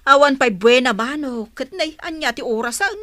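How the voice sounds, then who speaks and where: A woman's voice: high-pitched, normal speed, pushed and bright, no echo. A woman playing a grumbling, wary village vendor in a radio comedy drama, with an Ilocano accent. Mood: weary grumbling.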